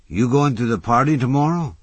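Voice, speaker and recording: A man's voice: medium pitch, expressive, brisk, breathy edge, dry recording, voice pushed up. A man voicing a plain-spoken, blunt small-town bar owner with folksy speech. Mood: puzzled.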